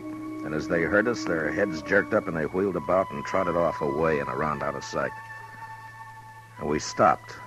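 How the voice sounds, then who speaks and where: A man's voice: extremely low pitch, poor audio. A man acting a stern, weary frontier lawman who narrates his story tersely in a 1950s radio western. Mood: tense, wary. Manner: terse, grave, deliberate storytelling. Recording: vintage 1950s radio recording with music.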